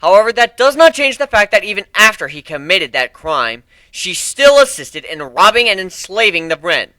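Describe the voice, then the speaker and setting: A firm young griffin chief played by a man hands down judgment in a fantasy story reading. The delivery is formal and forceful, pushed higher in pitch, with a bright tone and a dry sound. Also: very animated, normal speed, dry recording, heavily acted. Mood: accusing. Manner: declarative, formal.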